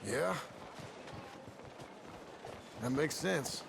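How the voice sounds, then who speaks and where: An actor playing a rugged Western outlaw in a game, with a rural American accent and a terse, folksy, guarded way of talking. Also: male voice, fairly high pitch, lively intonation, very slow, creaky, background noise, heavily acted. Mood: grim, reflective. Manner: folksy, terse, plain-spoken. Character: rugged, plain-spoken male Western outlaw, guarded and gruff. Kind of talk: cinematic game dialogue.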